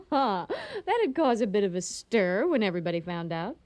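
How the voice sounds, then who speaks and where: A woman's voice: medium pitch, very animated, moderate pace, darkish tone, dry recording, natural voice. A woman playing a feisty, indignant restaurant owner with a sarcastic streak in a radio comedy. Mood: scheming. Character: exasperated, feisty female restaurant owner, competitive and vengeful.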